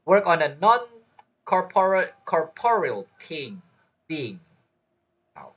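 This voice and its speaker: A man's voice: a little high, very animated, slow pace, fairly bright tone, slightly rough. A man streaming a game playthrough with casual commentary, musing aloud and making joking asides.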